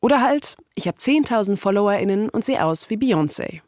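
A woman's voice: mid-range voice, animated, a little fast, darkish tone, no room sound, clean studio sound. A woman co-hosting a documentary podcast, reading scripted narration.

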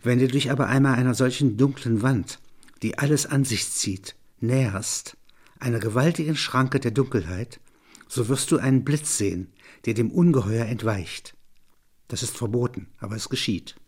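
A man reads and comments on literary text. His voice is medium in pitch with a husky, slightly rough grain. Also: normal speed, darkish tone.

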